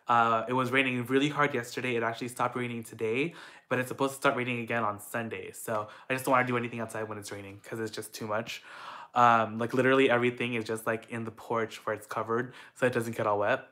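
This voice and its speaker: A man's voice: mid-range voice, flat delivery, quick pace, sharp tone. A young man from the Philippines chatting casually at home, answering viewer questions in an upbeat way with lots of fillers. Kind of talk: casual Q&A chat.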